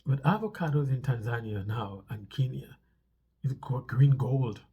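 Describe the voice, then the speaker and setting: A man's voice: medium pitch, measured pace, breathy edge, muffled recording. A man with a stuffy nose talks casually to viewers as a vlog host, explaining things conversationally and repeating phrases for emphasis.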